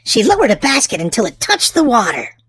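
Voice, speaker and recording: High-pitched woman, children's storybook narrator, theatrical emphasis, swooping intonation. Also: huge pitch swings, a little fast, fairly bright tone, a little hiss or hum. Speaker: children's storybook reader and channel host. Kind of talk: expressive read-aloud narration for young children.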